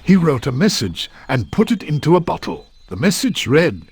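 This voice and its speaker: A man narrating a children's story from a script in an expressive storytelling manner. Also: fairly high pitch, moderate pace, slightly rough, dry recording.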